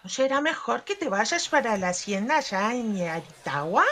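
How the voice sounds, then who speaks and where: A woman's voice: a little high, very animated, moderate pace, a bit bright. An actress playing a worldly, teasing female confidante in a humorous radio soap, with a knowing manner.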